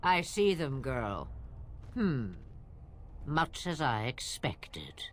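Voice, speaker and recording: A woman's voice: a bass voice, very animated, drawn-out words, a little hiss or hum, full character voice. An actress playing a sly old swamp witch who talks in teasing riddles. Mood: amused. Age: older.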